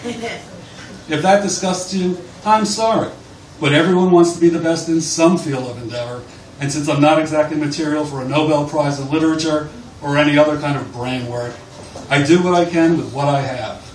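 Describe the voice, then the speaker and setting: Echoey, higher-pitched man reading aloud live. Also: moderate pace. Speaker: author reading at a live book event. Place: bar reading event. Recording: distant, echoey, noisy live bar recording.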